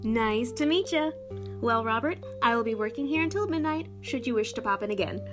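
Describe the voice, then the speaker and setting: A young female shop clerk in a radio play, performed by a woman, chatty and outspoken. Her voice sits a little high. She talks in slangy, folksy confidences with faint music underneath. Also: animated, fairly quick, lightly acted. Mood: friendly, confiding.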